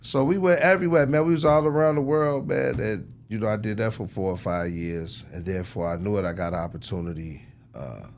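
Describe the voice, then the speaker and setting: A middle-aged American man telling his story casually as an interview guest, in slangy conversational speech with frequent throat clearing. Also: lowish voice, fairly quick, hardly any pauses, a little hiss or hum. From United States, Chicago. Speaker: interview guest, record executive.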